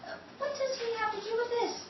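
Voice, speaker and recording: A woman's voice: very high voice, fairly even intonation, fairly quick, heavy reverb, far from the mic, dull audio, background noise. An actress playing a scheming, defensive married woman who stammers evasively, in a 1930s-style radio drama. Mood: guarded.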